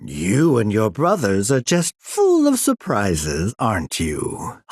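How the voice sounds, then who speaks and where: A cruel older mad scientist from a video game, sinister and theatrical. He draws out his mocking words slowly, with pitch that leaps and dives dramatically. The voice rings in an echoey, reverberant space, full of condescension and glee. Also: exaggerated voice. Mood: mocking curiosity. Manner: condescending, drawn-out, mocking articulation. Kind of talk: video game villain acting.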